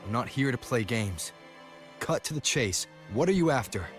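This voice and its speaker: A man playing a serious, guarded, level-headed hero in a video game, speaking in a measured, firm, matter-of-fact way. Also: medium pitch, lively intonation, soft background music, close to an everyday voice. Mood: guarded, challenging.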